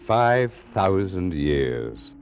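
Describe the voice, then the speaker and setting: Awed Egyptian priest, swooping low voice. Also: male voice, sing-song, drawn-out words, crowd noise, heavily acted. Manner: theatrical, exclamatory. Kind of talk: 1940s radio drama acting. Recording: dull old-time radio recording with music.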